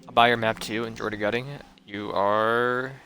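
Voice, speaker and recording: Male streamer, head cold, stuffy and low-pitched, laid-back rambling commentary. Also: flat delivery, moderate pace, pushed and bright, faint music behind. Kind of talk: casual gameplay commentary.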